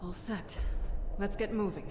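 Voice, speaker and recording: Game casting: woman as determined mage, earnest, theatrical, mid-range voice over rumbling noise. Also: a little slow, close to an everyday voice. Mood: resolute. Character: resolute, grave female mage leader, adult. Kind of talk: fantasy game acting. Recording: noisy game ambience behind.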